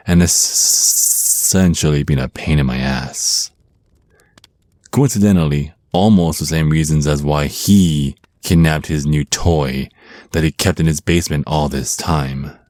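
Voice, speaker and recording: Very deep, slow vampire naga voiced by a man. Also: darkish tone, no room sound. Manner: slow, teasing, condescending, threatening endearments. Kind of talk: ASMR roleplay acting.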